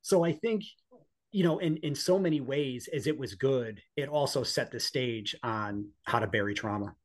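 A man's voice: mid-range voice, normal speed. A man in his forties with an American accent, telling personal stories conversationally as an interview guest. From northeastern United States. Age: 40s. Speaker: podcast guest, police officer and former EMT.

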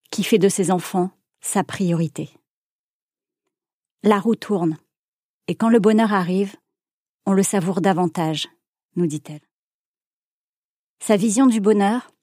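Calm woman podcast host. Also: medium pitch, no room sound, clean studio sound. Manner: measured, calm reading. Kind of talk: scripted intro reading, then casual interview.

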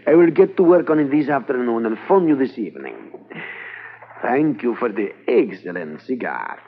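An actor playing a smooth, guarded foreign drug dealer, formal and polite, in a 1950s radio drama. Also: male voice, mid-range voice, very animated, moderate pace, slight background noise. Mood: wary, polite. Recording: muffled lo-fi old radio transcription.

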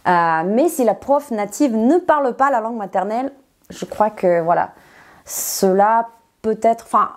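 Mellow-voiced teacher, woman. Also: mid-range voice. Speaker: non-native French teacher, interview guest. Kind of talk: conversational explanation in an interview.

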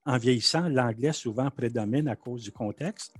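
A man's voice: medium pitch, normal speed, breathy edge. A man from Manitoba with a Canadian French accent, answering interview questions in a frank, conversational, emphatic way.

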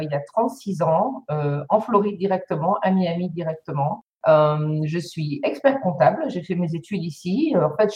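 Echoey woman chatting as a guest. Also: fairly low pitch. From northern France. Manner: warm and easygoing, with frequent hesitations. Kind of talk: casual interview chat.

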